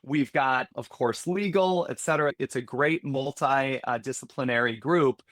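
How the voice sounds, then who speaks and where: A man with a middle-pitched voice speaks candidly as a podcast guest, working through his thoughts out loud and sometimes restarting a phrase. The recording is dry and close, with no room sound. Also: moderate pace, dry recording. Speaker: healthcare security executive, podcast guest. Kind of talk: conversational interview.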